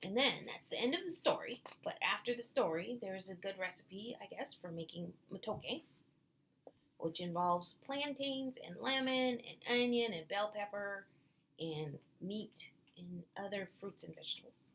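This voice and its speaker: A woman's voice: medium pitch, lively intonation, measured pace, fairly bright tone. A woman reading a children's storybook aloud, adding small explanatory asides, with some noise in the background.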